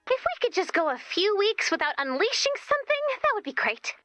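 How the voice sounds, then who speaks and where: A woman's voice: extremely high pitch, sing-song, a little fast, sharp tone, dry recording, heavily acted. A woman voicing a perky, sassy robot assistant with sarcastic, quippy delivery in a video game. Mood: wry. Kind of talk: video game character voice lines.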